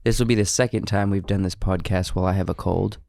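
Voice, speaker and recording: Southern male podcast co-host, low voice, sniffly with a cold, easygoing and rambling, dry close mic. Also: fairly even intonation, fast talker, creaky, dark tone, dry recording. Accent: American Southern. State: stuffy from a cold. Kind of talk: casual chat.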